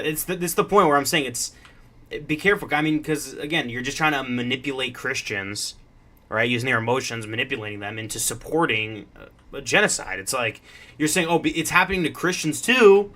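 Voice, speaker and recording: A man's voice: medium pitch, bright, forceful tone. A man giving casual reaction commentary in a blunt, argumentative, swearing manner. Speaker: commentator reacting to a show clip.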